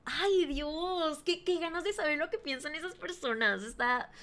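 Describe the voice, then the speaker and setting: A woman with a Mexican accent giving casual, playful, expressive commentary as host of a reaction video. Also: high voice, moderate pace.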